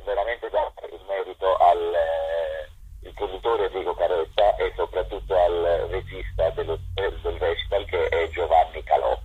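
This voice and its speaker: Deep, raspy man over a phone line. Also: steady tone, normal speed, darkish tone. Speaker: stage actor interviewed. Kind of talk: spontaneous interview talk. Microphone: telephone. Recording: narrow phone line into radio.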